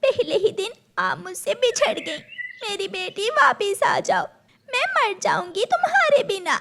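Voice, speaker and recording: Frantic cartoon mother bird, high and wailing, a woman does her. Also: very animated, unhurried, gravelly, heavy background noise, exaggerated voice. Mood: distraught. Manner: anxious, wailing. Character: mother sparrow, anxious and grieving.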